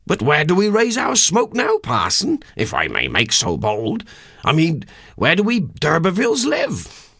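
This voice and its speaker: A man's voice: fairly high pitch, wildly swooping pitch, unhurried, vocal fry, bright, forceful tone, no room sound, clean studio sound, voice pushed up, heavily acted. An actor voicing a middle-aged, naive and self-important country man with a West Country English accent and a wondering, wheedling manner, in a literary audiobook. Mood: wondering, puffed up. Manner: rustic dialect, wondering and wheedling.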